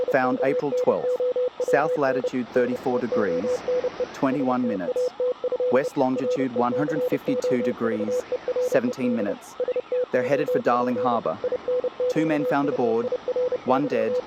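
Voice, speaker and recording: A man's voice: mid-range voice, lightly acted. Man as a radio operator amid heavy noise. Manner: clipped, factual. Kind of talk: radio drama acting. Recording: very noisy radio-room effects.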